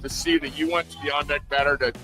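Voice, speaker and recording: A man's voice: a little high, steady tone, fast, bright, forceful tone, faint music behind. A man giving an enthusiastic sports commentary monologue for a podcast.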